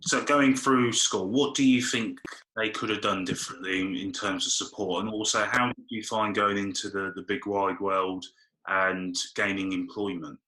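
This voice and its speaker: A man's voice: lowish voice, steady tone, normal speed, sharp tone, poor audio. A man, hosting an interview and asking questions in a friendly, casual way. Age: 20s. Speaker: interviewer host.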